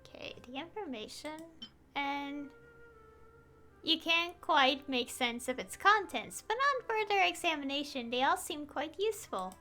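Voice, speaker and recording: Bright, high-voiced woman streaming a game. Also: lively intonation, a little slow. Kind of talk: casual gameplay commentary and reading game text aloud. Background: faint game music.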